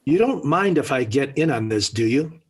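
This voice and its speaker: A man's voice: medium pitch, expressive, normal speed, breathy edge. An actor playing a stern, sardonic middle-aged father in a 1940s radio sitcom. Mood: stern refusal. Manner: stern, dry, put-upon. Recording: vintage 1940s radio broadcast.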